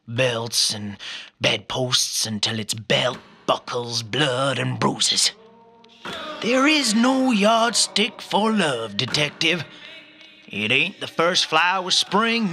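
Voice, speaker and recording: An actor playing a menacing, theatrical crime boss with a twisted romantic streak, speaking in florid, drawn-out poetic lines in a noir radio play. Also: male voice, fairly high pitch, vocal fry, pushed and bright, exaggerated voice.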